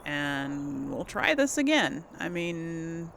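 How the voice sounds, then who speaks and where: A woman's voice: sits low, sing-song, takes it slowly, vocal fry, fairly bright tone, slight background noise. A woman hosting casual video game commentary, thinking aloud as she plays. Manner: thinks aloud while playing. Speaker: gaming host.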